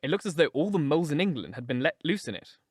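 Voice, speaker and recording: Man voicing a gentle, observant young gentlewoman. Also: a little high, lively intonation, fast talker, slightly rough, no echo, clean studio sound. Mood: wondering.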